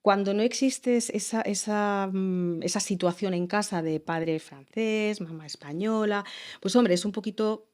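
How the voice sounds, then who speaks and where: A woman's voice: medium pitch, measured pace, darkish tone. A woman from Spain explaining child bilingualism in an interview, thoughtful and hesitant with restarts. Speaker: education expert guest.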